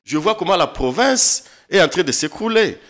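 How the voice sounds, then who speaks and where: A man's voice: high voice, big pitch swings, moderate pace, a bit bright. A man in his thirties from eastern Congo, a lawyer speaking as a studio guest, emphatic and impassioned, often repeating words. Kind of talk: studio political interview. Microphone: desk microphone.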